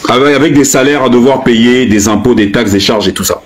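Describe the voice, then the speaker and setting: A man in his fifties from Cameroon, host of a live stream, grilling a guest with pointed, repeated questions in a heated debate. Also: medium pitch. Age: 50s. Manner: pointed, hammering questions, drawn-out stresses.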